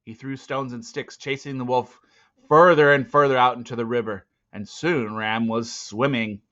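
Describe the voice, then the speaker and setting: Forceful male storyteller, theatrical delivery. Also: medium pitch, steady tone, normal speed, dull audio. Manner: theatrical, swinging between hushed and loud. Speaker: reader of a children's novel. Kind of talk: dramatic storybook narration.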